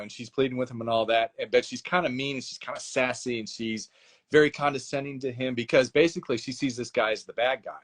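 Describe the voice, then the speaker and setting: Male author guest, mid-pitched, lively storyteller who slips into quick voiced dialogue. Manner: animated storyteller, acts out dialogue with laughs. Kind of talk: casual interview chat.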